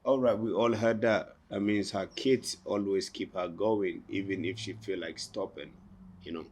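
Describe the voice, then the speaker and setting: Lower-pitched male host, enthusiastic chatty interviewer. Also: steady tone, moderate pace, darkish tone. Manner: enthusiastic, chatty, repeats questions. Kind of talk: casual interview. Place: boutique, indoors.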